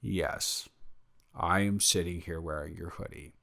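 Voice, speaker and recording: A young man playing a sheepish, playfully defensive roommate in an improvised romantic audio roleplay, talking casually in halting, self-interrupting rambles and answering his own questions. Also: deep voice, fairly even intonation, gravelly, sharp tone, heavily acted. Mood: bashful, teasing.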